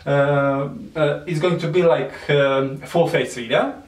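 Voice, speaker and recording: A man with a Serbian accent, whose first language is Serbian, gives a makeup tutorial in halting English with many fillers and soft nervous laughs. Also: fairly high pitch, steady tone. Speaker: beauty vlogger. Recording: echoey room, mic at a distance.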